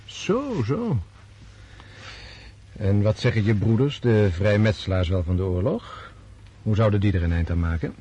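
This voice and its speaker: An actor in a Dutch radio drama playing a disillusioned, resolute Russian officer prince, speaking in a deliberate, scornful way. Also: male voice, fairly low pitch, expressive, dark tone, slightly rough, muffled recording. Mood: wry, welcoming.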